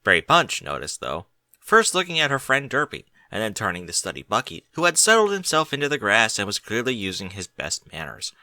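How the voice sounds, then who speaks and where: Bright, expressive man narrating a story. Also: lowish voice, fairly quick, no echo, clean studio sound. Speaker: audiobook reader of fan fiction.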